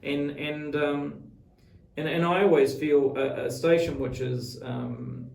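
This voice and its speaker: A man's voice: on the high side, little pitch movement, slow, vocal fry, a little mellow. A middle-aged man with a New Zealand accent answering interview questions thoughtfully, often repeating words as he forms his point. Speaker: radio executive, interview guest. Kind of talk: long-form interview conversation. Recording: roomy echo, distant from mic.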